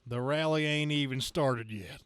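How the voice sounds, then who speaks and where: A man's voice: medium pitch, measured pace, fairly bright tone, clear, resonant tone, no echo, close to an everyday voice. A man playing a big, indignant country trucker with a folksy Southern US drawl in a comic-book reading. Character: big angry country good ol' boy trucker.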